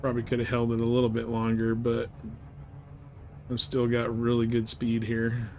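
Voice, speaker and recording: A man's voice: medium pitch, completely flat delivery, normal speed, darkish tone. A man livestreaming a video game with casual commentary, rambling a little as he thinks aloud. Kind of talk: casual live gameplay commentary.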